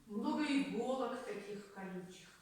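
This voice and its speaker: A woman's voice: medium pitch, animated, measured pace, darkish tone. A woman taking part in a group workshop, giving short unscripted answers. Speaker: workshop participant. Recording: very echoey room, distant microphone.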